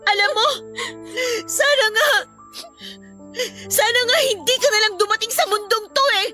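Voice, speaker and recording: Irritable young Filipina elder sister: bright, forceful, very high voice, blunt and exasperated radio-drama delivery. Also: female voice, normal speed, soft background music. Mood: hurt, bitter.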